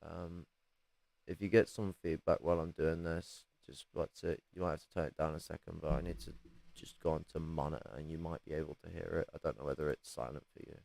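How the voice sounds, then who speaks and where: A raspy man, chatting casually on a livestream with lots of restarts and casual swearing. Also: extremely low pitch, fairly even intonation, a little fast, darkish tone, poor audio. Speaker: streamer.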